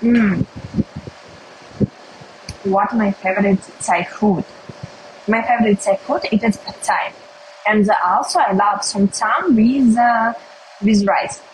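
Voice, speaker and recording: Young woman, Russian accent, casual livestream host; unhurried, simple English, mid-pitched voice. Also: a little hiss or hum, low-quality recording. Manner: slow, simple English with pauses and hums. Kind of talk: casual livestream chat while eating. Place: indoors at a table by a window.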